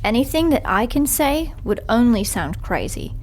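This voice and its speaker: An actress playing a controlled, dangerous gun-toting nun in an audio drama, speaking in a terse, formal way. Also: female voice, a little high, sing-song, moderate pace, a little mellow, slight background noise. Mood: cool, guarded.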